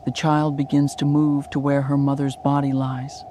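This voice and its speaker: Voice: medium pitch, completely flat delivery, normal speed, warm tone. A narrator reading a scripted sci-fi horror audio drama. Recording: clear background noise.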